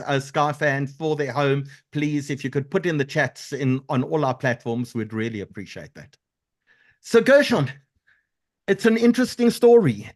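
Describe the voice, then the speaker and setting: A man hosting a live webinar over a video call, chatty and full of thanks for sponsors. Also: a little high. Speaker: webinar host.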